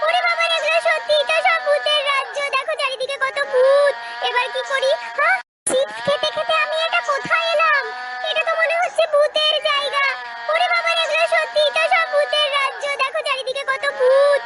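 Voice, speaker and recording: Casting: excitable small cartoon child, extremely high childish voice, loud music underneath. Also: brisk, heavy reverb. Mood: frightened. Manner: childish, exclamatory. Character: excitable little child in funny cartoon shorts. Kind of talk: exaggerated children's cartoon acting.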